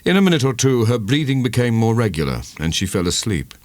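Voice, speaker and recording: A man narrating a literary audiobook in a measured, dramatic storytelling manner. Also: medium pitch, very animated, dry recording.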